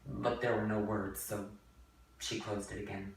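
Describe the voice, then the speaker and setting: A man's voice: fairly low pitch, flat delivery, unhurried, vocal fry. Guy narrating a kids book dramatically, far from the mic, lots of room echo, faint noise. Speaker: narrator, actor. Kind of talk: dramatic audiobook-style narration.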